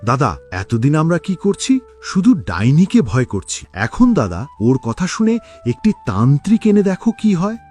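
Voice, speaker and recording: A man as a husky, agitated villager. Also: a little high, wildly swooping pitch, a little slow, a little mellow, soft background music, full character voice. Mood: agitated, urging. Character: agitated male villager urging action. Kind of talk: Bengali cartoon voice acting.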